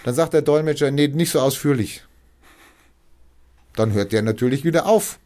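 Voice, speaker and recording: A man's voice: fairly high pitch, moderate pace, a little mellow. A man who helps refugees, explaining in a casual conversation, acting out quoted dialogue and often restarting his sentences.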